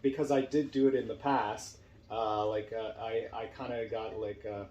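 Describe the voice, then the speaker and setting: A man's voice: lowish voice, flat delivery, moderate pace, mellow, lo-fi sound. A man talking casually as a podcast host and actor, telling stories in a room at a home. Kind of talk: casual chat. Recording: roomy echo, faint background noise.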